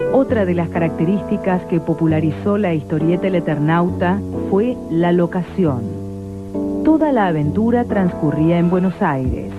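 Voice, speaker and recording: A woman delivers scripted documentary narration at a middling pitch. The recording is thin and narrow like a telephone, with music clearly playing behind her. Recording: thin phone-like sound, loud music behind.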